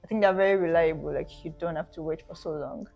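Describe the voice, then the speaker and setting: Brief: woman host, Yoruba native, lowish voice, curious and chatty, faint music under. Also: gravelly, darkish tone. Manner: curious, chatty, quick to react with exclamations. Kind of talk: casual chat.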